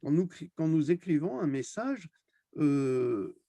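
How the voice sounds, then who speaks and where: A man's voice: fairly high pitch, very animated, slow pace, gravelly, warm tone, no room sound. A man hosting an online discussion, speaking in an impassioned, scornful rant and repeating phrases for emphasis. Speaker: host of an online video discussion.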